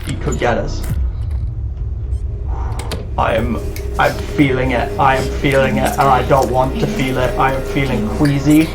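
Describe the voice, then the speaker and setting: A man giving live commentary on a horror game, muttering nervously to himself and breaking into excited bursts. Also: medium pitch. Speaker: let's play host.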